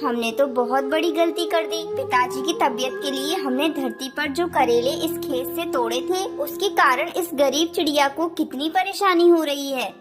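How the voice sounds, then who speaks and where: A woman voicing a gentle, apologetic fairy in a children's cartoon, explaining things softly. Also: high-pitched, moderate pace, hardly any pauses, music in the background, lightly acted. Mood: regretful. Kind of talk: Hindi children's cartoon story acting.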